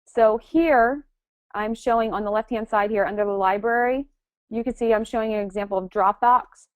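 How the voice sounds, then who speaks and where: A woman's voice: on the high side, moderate pace, no echo. A woman with a cold presenting a technical forensics webinar as the instructor, explaining step by step with frequent "um" fillers. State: has a cold.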